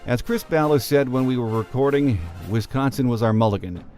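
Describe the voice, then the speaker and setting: A man's voice: mid-range voice, a little fast, dark tone, faint music behind. A man hosting a sports podcast, delivering prepared introductions and commentary. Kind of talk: scripted show introduction and commentary.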